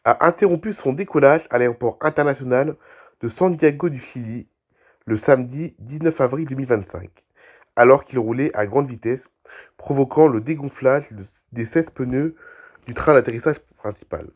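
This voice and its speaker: A man with a cold reads aviation news aloud, clearing his throat and restarting phrases. His pitch is mid-range and his pace is steady. The recording is a little dull. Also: moderate pace. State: has a cold, coughing. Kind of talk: reading aviation news with commentary.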